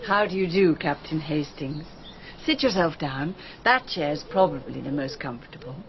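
A woman playing a cool, self-assured English gentlewoman with an upper-class accent in a radio drama, composed and formal. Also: low voice, normal speed. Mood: cool, poised. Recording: dull, muffled radio drama recording.

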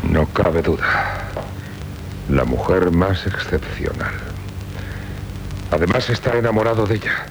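An actor playing a gruff, possessive middle-aged count and father in 1970s radio theatre, with a brooding, hesitant delivery. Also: lively intonation, unhurried, long pauses, exaggerated voice. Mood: wry, proud. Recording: rumbly, noisy 1970s radio recording.

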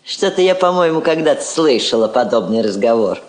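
An actress playing a composed, dignified female ambassador, measured and courteous with dry authority, in stage-style radio drama. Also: extremely low pitch, sing-song, a little mellow. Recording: echoey old studio drama recording.

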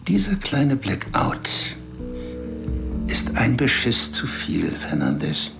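A cold, calculating spymaster, gravelly voice, calm interrogating manner, music under the radio play. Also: male voice, medium pitch, slow, heavily acted. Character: cold powerful male spymaster, menacing. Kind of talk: radio drama acting.

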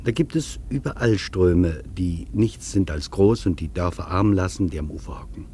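An actor playing an earnest, reflective traveller in a scripted radio feature, speaking deliberately and rhetorically. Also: male voice, deep voice, warm tone, slight background noise, natural voice. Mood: earnest, circling. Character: earnest reflective male traveller recounting an imagined interview. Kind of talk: scripted German radio feature, literary narration.

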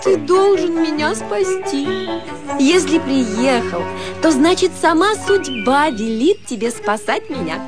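High-voiced, gushing young wife. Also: female voice, expressive, over loud music. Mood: pleading, cajoling. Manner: effusive, theatrical, cajoling, drawn-out words. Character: gushing, flighty, coaxing young wife at a summer cottage. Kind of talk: radio drama acting.

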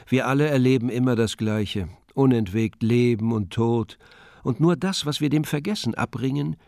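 A man reading a scripted, literary introduction to a radio play in a measured manner, close to the microphone. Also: medium pitch, a little mellow, no room sound. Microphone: close studio microphone.

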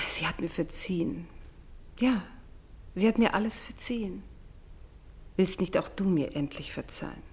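Woman playing gushy anxious lady in a radio drama, breathy n pleading, very theatrical. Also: on the low side, a little mellow, lightly acted. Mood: contrite, pleading. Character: effusive, anxious, insinuating woman friend of the family.

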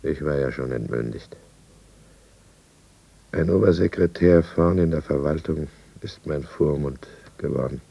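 Very deep, gravelly broken man. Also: a little slow, mellow, no echo, highs cut off, full character voice. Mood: bitter resignation. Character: broken, bitter middle-aged alcoholic businessman confined in asylum. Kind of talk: 1950s German radio drama, first-person narration. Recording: 1950s radio studio recording.

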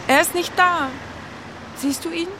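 A woman's voice: very high-pitched, fairly even intonation, unhurried, background noise. An actress playing a nervous, candid young woman in a radio play, with a hesitant, confiding manner. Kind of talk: naturalistic radio play dialogue.

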